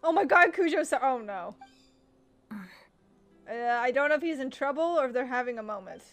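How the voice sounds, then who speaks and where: High-voiced, animated woman streamer reacting. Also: moderate pace, faint music behind. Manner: giggly, reactive, exclamatory. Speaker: game streamer. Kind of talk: casual live gameplay commentary.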